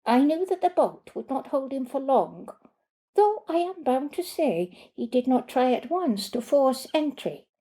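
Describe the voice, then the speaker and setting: A woman's voice: high voice, sing-song, unhurried, mellow, breathy edge, pitched above the performer's own voice, full character voice. A woman voicing an earnest immigrant housemaid in a short story, telling of her ordeal in formal, careful phrases. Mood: grave, recounting.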